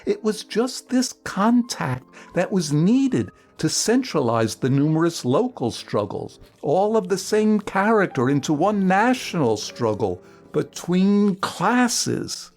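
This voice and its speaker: A man reading a historical political text dramatically, with emphatic, oratorical delivery in deliberate phrases. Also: high voice, sing-song, a little mellow, faint music behind.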